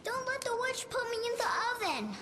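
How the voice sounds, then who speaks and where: A terrified, very high-pitched little boy. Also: sing-song, a little fast, background noise. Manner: pleading, panicky.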